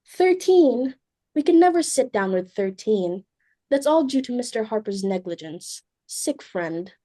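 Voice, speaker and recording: A fussy, imperious society hostess, acted by a woman in a stage play reading. Her voice sits high with a soft, dark tone. She is exclamatory and dramatic, a domineering mother who is easily flustered. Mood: flustered, exasperated.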